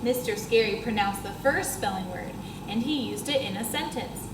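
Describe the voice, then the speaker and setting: A young woman, a first grade teacher, reading a children's book aloud to her pupils in an expressive way and talking to them in questions and explanations. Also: a little high, normal speed. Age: young adult. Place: school classroom, indoors. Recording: roomy classroom echo, clear background noise.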